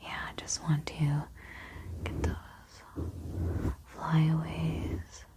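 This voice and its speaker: A woman playing a calm massage therapist in a whispered ASMR roleplay, speaking slowly and soothingly to a client. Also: sits low. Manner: slow, soothing, repeats words softly.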